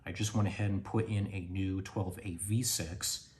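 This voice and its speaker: A man's voice: low-pitched, steady tone, moderate pace, a little mellow, a little hiss or hum. A man hosting a hands-on radio repair tutorial, plainly explaining each step while he works in a garage workshop. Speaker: radio restoration host.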